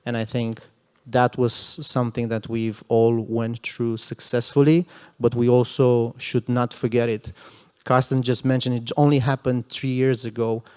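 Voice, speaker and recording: A man's voice: mid-range voice, flat delivery, unhurried, a little mellow, clear, resonant tone. A man speaking as a security panelist at a conference, earnest and emphatic, with frequent "you know" asides. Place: conference hall panel stage. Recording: long hall reverb.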